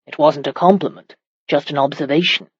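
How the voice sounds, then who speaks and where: Casting: commanding medieval warrior husband read by a woman, low-pitched, firm, exasperated yet protective, dry audiobook recording. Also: fairly even intonation, a little fast, husky, no room sound, muffled recording.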